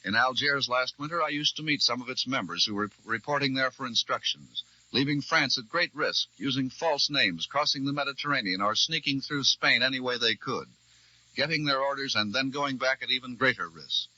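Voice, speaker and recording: An American man reading scripted news as a formal, measured radio correspondent in a 1940s broadcast. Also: mid-range voice, bright, forceful tone, no echo. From United States. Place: radio newsroom. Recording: dull vintage 1940s radio broadcast recording.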